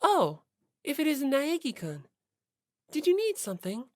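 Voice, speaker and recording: A woman voicing a cool, analytical teenage girl detective in an audiobook, with a cold, level-headed monotone. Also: high voice, a little slow, no echo, clean studio sound, voice pushed up, full character voice. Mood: cool, detached. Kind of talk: audiobook character voice.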